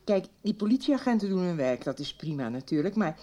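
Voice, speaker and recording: A woman's voice: lowish voice, very animated, fairly quick, dark tone. A woman acting in a 1990s radio soap as a worried, insistent businesswoman protecting her company's name.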